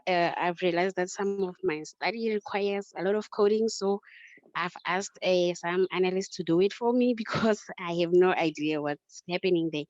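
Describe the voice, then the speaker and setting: A woman's voice: mid-range voice, a little mellow, no echo. A woman from South Africa, a master's student, introducing herself plainly on a video call. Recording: muffled video call audio.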